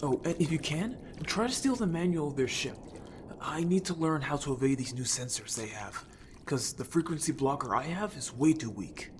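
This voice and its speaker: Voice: medium pitch, animated, normal speed, noisy background, lightly acted. An actor playing an upbeat, bold young merfolk scout in an audio story, chatty, casual and playfully defensive. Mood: curious, eager. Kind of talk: audio story character acting.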